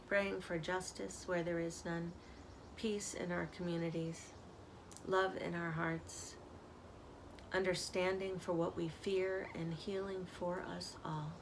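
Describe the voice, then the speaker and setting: An older woman, a community minister, giving a gentle spiritual reflection on an outdoor porch, filmed by a camera close up. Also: fairly low pitch, noisy background. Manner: slow, soft-spoken, reflective. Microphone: camera at close range. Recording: outdoor ambience audible behind.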